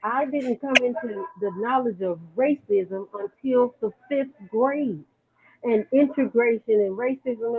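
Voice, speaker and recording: Female caller, lo-fi phone line, halting personal storyteller. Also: fairly high pitch. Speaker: call-in panelist. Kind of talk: casual panel discussion. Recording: tinny phone line with faint hiss.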